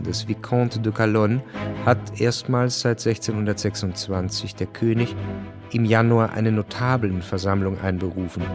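An actor as a sober chronicler, narrating a radio drama in a factual, composed manner. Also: male voice, lowish voice, natural voice. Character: sober male chronicler of historical events.

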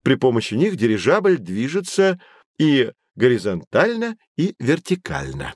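Animated male teacher guiding children. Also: medium pitch, measured pace, dry recording, clean studio sound, exaggerated voice. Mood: patient, explanatory. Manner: clear didactic storytelling to children. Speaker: guide explaining history and technology. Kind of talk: educational audio drama, scripted explanation.

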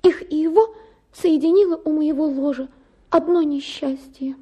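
A woman's voice: high-pitched, moderate pace, mellow, lightly acted. A woman playing a coy, petulant young wife. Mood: airy. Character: capricious, self-pitying young wife, Lizochka. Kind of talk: radio play acting. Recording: long reverb with faint music.

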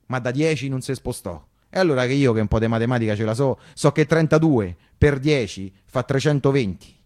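A man's voice: mid-range voice, fairly quick, darkish tone. A man with a Roman accent performing a theatrical first-person monologue in colloquial dialect, repeating phrases emphatically like a popular storyteller. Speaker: actor-narrator.

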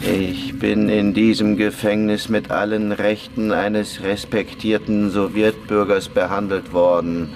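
A man playing a simple, remorseless prisoner in a German radio play, speaking in a deadpan, halting way. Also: lowish voice, noisy background. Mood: deadpan confessing. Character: simple, matter-of-fact male prisoner, remorseless village bomber.

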